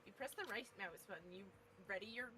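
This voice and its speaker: A woman's voice: medium pitch, fast talker, crowd noise. A woman on a casual game stream, giving instructive tips to a player. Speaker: co-commentator guiding a player. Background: clear game music.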